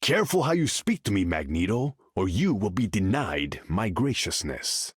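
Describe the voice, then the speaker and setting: Snooty regal game king, lowish raspy voice, formal, very up and down. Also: sing-song, moderate pace, no room sound, studio quality. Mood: haughty, disdainful. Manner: haughty, formal. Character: proud, haughty regal male ruler. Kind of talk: video game character voice lines.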